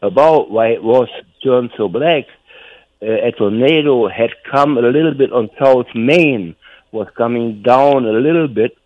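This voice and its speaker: An elderly man from Germany with a German accent, reminiscing casually in a halting, rambling way and repeating himself. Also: mid-range voice, dry recording. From North Rhine-Westphalia, Germany. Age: 70s or older. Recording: tinny phone line.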